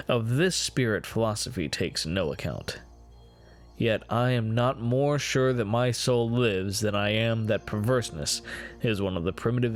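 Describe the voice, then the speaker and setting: Low-voiced, solemn gothic narrator acted by a man. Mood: grave, brooding. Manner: solemn, deliberate, theatrical, formal. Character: remorseful, perverse man confessing his dark crimes. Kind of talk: dramatic reading of gothic horror story.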